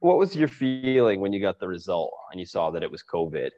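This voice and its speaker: A man's voice: sits low, big pitch swings, a little fast, warm tone, muffled recording, soft background music. A man co-hosting a podcast, asking questions and teasing his friend dryly in a casual chat. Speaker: podcast co-host.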